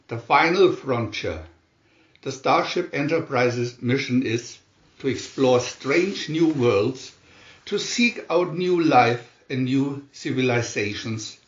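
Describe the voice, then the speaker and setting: Guy narrating a picture story real slow and dramatic, dull roomy recording. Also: medium pitch. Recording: dull, echoey room recording.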